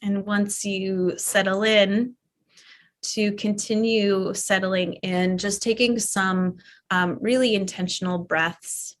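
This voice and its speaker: Yoga teacher lady, super slow and soothing, lots of pauses, soft voice. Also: mid-range voice. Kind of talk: guided relaxation. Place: live online or studio class.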